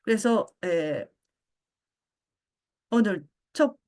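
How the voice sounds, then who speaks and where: An older woman born in Japan giving a philosophy lecture, pausing often with fillers as she searches for words. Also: medium pitch, expressive, warm tone. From Japan (Osaka). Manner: frequent hesitation fillers, halting.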